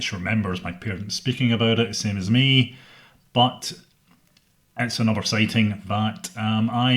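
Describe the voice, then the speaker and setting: A middle-aged Scottish man hosting a solo podcast, speaking in a measured, earnest way about his interests. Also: lowish voice, fairly bright tone. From Scotland. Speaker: podcast host.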